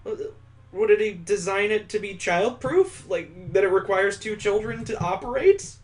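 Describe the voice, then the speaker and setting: A man's voice: sits high, moderate pace, poor audio. A man reading a visual novel aloud as a let's play host, mixing story narration with sarcastic, joking commentary. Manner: sarcastic, wisecracking.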